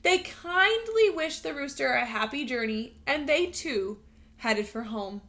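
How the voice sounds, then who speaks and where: A woman teacher reading a picture book aloud to young children, expressive and instructional, pausing to put questions to them. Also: high voice. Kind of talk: animated read-aloud storytelling with questions to young learners.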